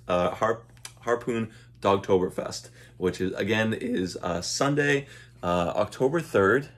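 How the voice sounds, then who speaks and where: A man in his thirties talking casually to camera as a vlogger, easygoing and a little hesitant, recorded at home. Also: on the low side. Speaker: vlogger and dog owner. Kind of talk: casual unboxing chat to camera. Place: small room at home, indoors. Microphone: camera mic at arm's length.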